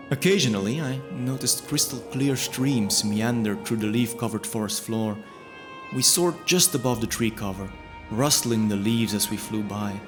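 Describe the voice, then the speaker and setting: A man delivering scripted first-person fantasy narration in a measured, descriptive storytelling manner. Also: lowish voice, a bit bright. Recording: music bed under the voice.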